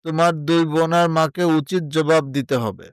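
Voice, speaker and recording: A man's voice: fairly high pitch, steady tone, fairly quick, clear, resonant tone, dry recording, natural voice. A man playing a kind, practical village suitor in a moral story, speaking earnestly and encouragingly.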